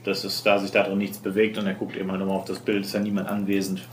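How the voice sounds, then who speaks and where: A man's voice: deep voice, completely flat delivery, fast. A man running a tabletop role-playing game as game master, explaining scenes and often rephrasing himself mid-sentence. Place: indoor room with other players. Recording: roomy, noisy group recording with clicks.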